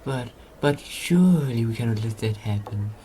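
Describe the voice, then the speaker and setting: A man's voice: mid-range voice, lively intonation, normal speed, mellow, a little hiss or hum, natural voice. A man playing an obsequious, bloodthirsty henchman in a radio drama, with a servile, deferential manner. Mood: fawning, sinister. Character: obsequious, bloodthirsty male henchman to a scheming master.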